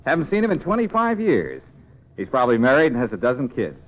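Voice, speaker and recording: An American actor playing a breezy, optimistic detective in a 1940s radio drama. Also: male voice, mid-range voice, wildly swooping pitch, quick pace. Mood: breezy, surprised. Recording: narrow, muffled 1940s radio recording.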